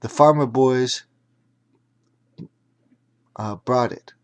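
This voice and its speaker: A man reads a children's story with dramatic emphasis. His pitch is average and his delivery very slow, broken into deliberate phrases. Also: clean tone. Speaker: audiobook narrator.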